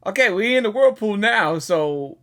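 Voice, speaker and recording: A woman's voice: mid-range voice, lively intonation, moderate pace, a bit bright. A woman giving casual, informal gameplay reaction commentary, often repeating her phrases. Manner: repetitive, informal. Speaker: gaming reaction video creator.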